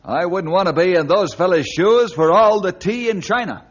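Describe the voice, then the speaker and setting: Earnest, steady ranger hero. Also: male voice, high-pitched, normal speed. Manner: earnest, plain-spoken. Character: earnest, steady adult male forest Ranger hero narrating. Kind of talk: 1950s radio adventure narration and dialogue. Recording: dull, noisy old radio recording.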